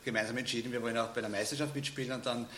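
A man's voice: mid-range voice, fairly even intonation, racing, pushed and bright. Rambling man, echoey room. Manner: casual, rambling, many fillers. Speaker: organizer, guest. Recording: echoey room, faint noise.

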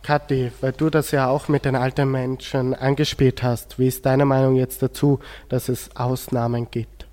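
A man's voice: mid-range voice, level tone, normal speed, hardly any pauses, dark tone. A male teenage student with an Austrian accent, moderating a radio discussion. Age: teens. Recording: long hall-like reverb.

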